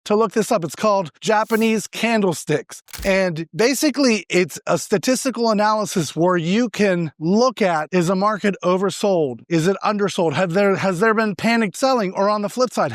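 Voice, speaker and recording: High-pitched man giving market advice. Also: no echo, studio quality. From Argentina. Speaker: real estate agent giving market advice. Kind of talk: informal explanatory monologue to camera.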